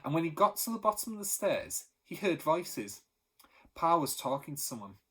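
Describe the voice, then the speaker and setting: Teacher guy reading a kids' book, husky voice, lots of expression. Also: on the high side, moderate pace. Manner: expressive storytelling. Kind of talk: reading a children's novel aloud.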